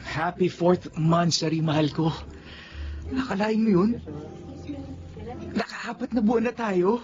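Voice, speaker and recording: A man's voice: sits high, measured pace. An actor playing a sincere young man who speaks respectfully to his father in a radio drama. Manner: polite, sincere. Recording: muffled radio-play recording with faint noise.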